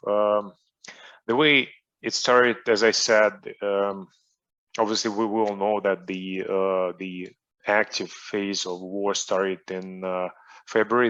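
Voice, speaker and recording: Middle-aged Russian-accented man, low voice, halting delivery, muffled web-call audio. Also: a bit bright. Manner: hesitant, many fillers and restarts. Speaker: guest soccer coach. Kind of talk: online interview.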